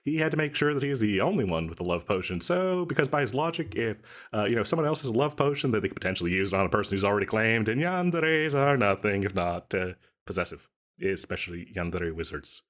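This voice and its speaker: A man's voice: lowish voice, lively intonation, quick pace, a bit bright. A man acting an overeager, swooping shady salesman. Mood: chatty, impressed. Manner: rambling, stammering, theatrically coy, chuckling sales patter. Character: overeager male yandere-agency salesman, chatty and cheerfully shady. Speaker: audio roleplay voice actor. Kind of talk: comedic audio roleplay, one side of a conversation.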